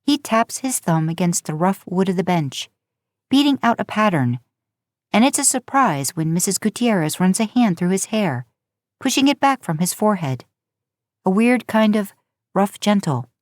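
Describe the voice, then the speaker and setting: A woman narrating a story aloud in a casual, expressive way. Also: medium pitch, normal speed, dry recording, clean studio sound. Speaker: podcast host narrating fan fiction.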